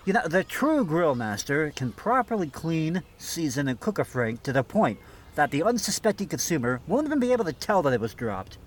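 Pompous grill-master host for comedy radio skit, played by a man: highish, very animated, exasperated instructor. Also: a little fast, a little hiss or hum. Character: pompous, stubborn male backyard grill master. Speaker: show host. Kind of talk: scripted comedy skit in old-time radio show.

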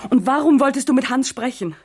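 An actress playing an insistent, worried young daughter with a breathy voice and reproachful manner in a 1960s radio play. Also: female voice, sits high, animated, a little fast, darkish tone.

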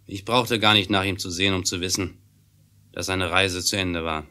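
A man's voice: sits low, steady tone, normal speed, bright, forceful tone. An actor playing a cool-headed western gunman, telling a story in a radio play. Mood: grim, calm.

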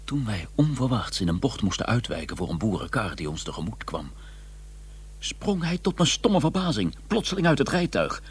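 Prim, exasperated scholar, swooping intonation. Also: male voice, medium pitch, slightly rough. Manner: formal, dryly sarcastic. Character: prim, exasperated English scholar, a doctor, narrating his journey. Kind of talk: radio drama acting and first-person narration.

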